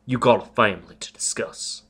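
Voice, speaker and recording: A man's voice: lowish voice, normal speed, bright, forceful tone, slightly rough. A man reading aloud, voicing a hulking, uneducated young man who talks bluntly in broken grammar and menaces a woman. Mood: threatening.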